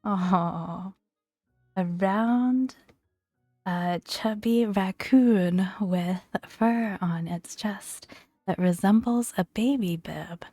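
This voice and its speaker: A woman hosting a soft-spoken ASMR gaming video, talking gently and fondly in a hushed voice that often slips toward a whisper. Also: medium pitch, dry recording, clean studio sound. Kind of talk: soft-spoken ASMR, relaxed commentary and read-aloud entries.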